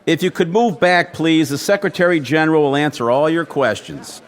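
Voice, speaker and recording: An actor playing a polite, official press aide loudly addressing reporters in a radio drama. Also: male voice, a little high, moderate pace, lightly acted. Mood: official, insistent. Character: formal male press aide addressing a crowd. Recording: busy crowd behind.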